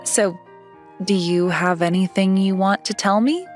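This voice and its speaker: A woman's voice: mid-range voice, unhurried, faint music behind, natural voice. A young woman playing a reserved yet playful schoolgirl in a manga dub, coy and matter-of-fact with a touch of indignation. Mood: expectant. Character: reserved yet playful, easily flustered schoolgirl love interest.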